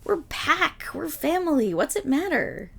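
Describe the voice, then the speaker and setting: A woman's voice: sits high, big pitch swings, measured pace, creaky, fairly bright tone, exaggerated voice. A woman voicing an affectionate, silly dog girl in an ASMR roleplay, bubbly, eager and playful.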